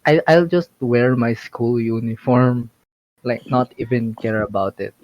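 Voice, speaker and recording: A man's voice: mid-range voice, unhurried, dry recording. A young man chatting casually in an online voice call, rambling through restarts and fillers. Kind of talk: casual chat. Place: home, online voice chat. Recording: dull voice-chat audio.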